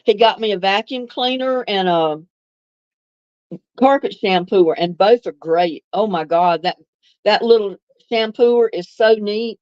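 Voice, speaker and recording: A woman's voice: mid-range voice, unhurried, darkish tone, dry recording. An older woman from North Carolina, chatting casually on a livestream and reading viewer comments in a homey way, laughing often. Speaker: livestream host. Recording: dull, lo-fi dry sound.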